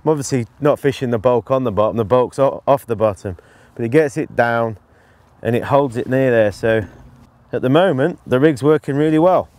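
Unhurried middle-aged man outdoors. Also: mid-range voice, a little mellow, no room sound. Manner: unhurried, halting phrases while busy. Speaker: angling instructor, presenter. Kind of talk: relaxed instructional commentary while fishing. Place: outdoors on an open lakeside bank.

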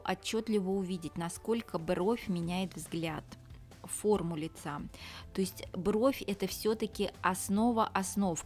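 A woman makeup artist narrating a tutorial in a chatty, instructive way, talking to fellow professionals. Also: lowish voice. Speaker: makeup artist teaching a lesson. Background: soft background music.

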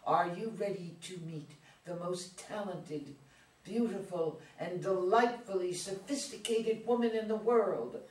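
A dramatic teenage girl, played by a woman in a stage play reading. She declaims slowly and grandly, putting on sophisticated airs. The sound is echoey and far from the microphone. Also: on the low side, darkish tone, natural voice. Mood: grand, excited. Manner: theatrical, grandly announcing, affected sophistication. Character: teenage girl, dramatic, showy daughter playing grand lady. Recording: roomy, distant microphone.

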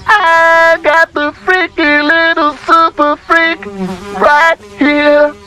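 High, robotic funky zombie villain. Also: male voice, little pitch movement, drawn-out words, bright, forceful tone, faint music behind, exaggerated voice, poor audio. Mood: sleazy, gloating. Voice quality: synthetic, electronically processed. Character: sleazy funky zombie villain, taunting party animal. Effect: robotic voice processing. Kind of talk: flamboyant video game villain acting.

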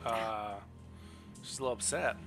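A man's voice: fairly low pitch, unhurried, creaky. A man streaming a game with casual live commentary in a playful, teasing manner. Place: indoor room at a streaming setup. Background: game music.

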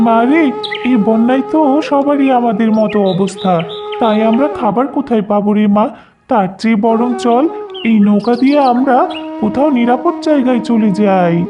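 Woman playing a high-voiced, tender, anxious village mother. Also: normal speed, lo-fi sound, music in the background, natural voice. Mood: resigned, hopeful. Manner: soothing, plaintive, pious. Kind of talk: cartoon fairy-tale voice acting.

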